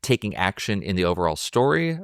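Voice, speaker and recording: Low-voiced man, thoughtful film chat. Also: very animated, brisk, clean tone, no room sound, clean studio sound. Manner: thoughtful, tentative, self-interrupting. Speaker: podcast co-host. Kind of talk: casual film discussion.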